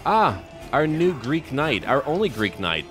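A man's voice: medium pitch, big pitch swings, unhurried, over music. A man hosting a roleplay strategy game video, musing aloud in short, clipped remarks. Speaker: gaming video host.

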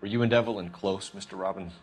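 An actor playing a formal, clipped young 1940s American homicide detective questioning people in a game cutscene. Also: male voice, low-pitched, fairly even intonation, fast talker, husky. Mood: probing. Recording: music behind.

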